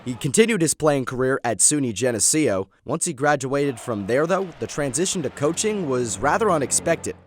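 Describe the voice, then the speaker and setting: A man's voice: medium pitch, lively intonation, normal speed, few pauses, a little hiss or hum. A man in his twenties delivering sports broadcast narration and commentary as a reporter and announcer. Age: 20s.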